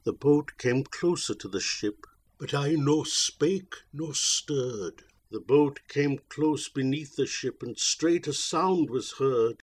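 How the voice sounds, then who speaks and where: Man playing a haunted old mariner, husky and slow. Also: mid-range voice, lively intonation. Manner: solemn, archaic declaiming. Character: haunted, tormented old mariner telling his tale.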